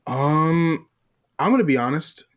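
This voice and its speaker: A man's voice: on the high side, animated, unhurried. A man co-hosting a casual gaming podcast, speaking in a tentative, agreeable way. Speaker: podcast co-host. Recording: slightly dull, lo-fi microphone sound.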